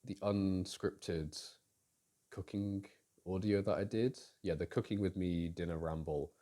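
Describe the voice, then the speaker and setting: Deep, dark-voiced young man rambling. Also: steady tone, unhurried. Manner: rambling, hesitant, many fillers and restarts. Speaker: audio roleplay creator answering questions. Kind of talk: casual Q&A chat.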